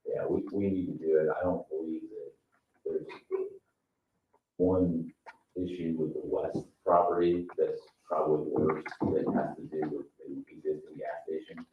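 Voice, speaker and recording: Male, American, meeting adviser, very low bass voice. Roomy, slightly muffled recording. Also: level tone, normal speed. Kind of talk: informal meeting discussion. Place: indoor town meeting room. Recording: roomy, distant mic, dull, faint hiss.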